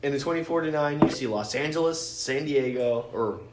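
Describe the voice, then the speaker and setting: A man's voice: mid-range voice, a little fast. A man co-hosting a podcast, chatting casually about films in an argumentative, sweary way. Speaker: podcast host. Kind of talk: casual film-fan chat. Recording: echoey room with clear background noise.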